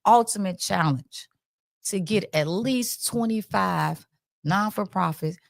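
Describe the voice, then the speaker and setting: A woman's voice: mid-range voice, takes it slowly, creaky, no room sound, studio quality. An American woman hosting a casual community talk show, emphatic and informal, often addressing her audience directly.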